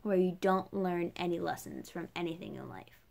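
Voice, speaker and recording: A woman's voice: fairly low pitch, moderate pace, gravelly, darkish tone. A young woman vlogging in a casual, chatty way, rambling through a personal rant with lots of "like" as a filler. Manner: chatty, rambling, heavy use of "like".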